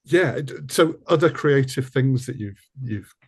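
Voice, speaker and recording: Man with very lively intonation, interviewing. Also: mid-range voice, a little slow, breathy edge. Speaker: podcast host and interviewer. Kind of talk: casual interview chat.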